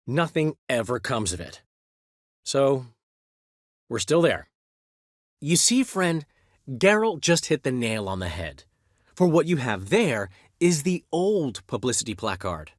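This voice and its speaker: A man's voice: fairly high pitch, no room sound, studio quality. An actor playing a flamboyant, vain and witty middle-aged male bard in a video game, teasing and theatrical with a showman's flourish. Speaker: game character. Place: recording studio. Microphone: close studio mic.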